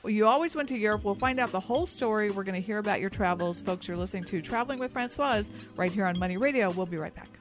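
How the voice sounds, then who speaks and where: A woman's voice: medium pitch, fast, hardly any pauses, faint music behind. A woman hosting a talk radio show, friendly and affirming, steering the conversation with questions. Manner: friendly, affirming, prompting the guest.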